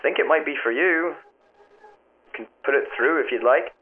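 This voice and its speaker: A man's voice: on the high side, lightly acted. A man playing a flustered but helpful switchboard operator in an audio drama, speaking hesitantly over a radio line. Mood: casual, helpful. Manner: hesitant, fumbling, halting. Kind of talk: naturalistic audio drama acting. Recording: tinny radio line, clicks, faint noise.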